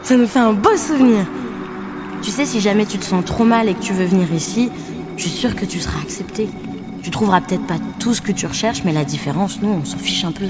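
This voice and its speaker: A woman's voice: mid-range voice, brisk, music in the background, lightly acted. A woman playing a friendly, curious stargazer from another world in a fiction podcast, easygoing, naively questioning and reassuring. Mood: warm, reassuring. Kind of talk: fiction podcast acting, informal dialogue.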